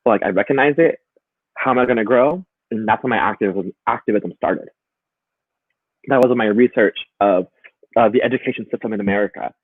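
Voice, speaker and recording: A man's voice: fairly low pitch, brisk, husky, no echo. A man talking as a guest in a casual live chat over a remote call, earnest and emphatic, laughing often. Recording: tinny phone-like line, dry.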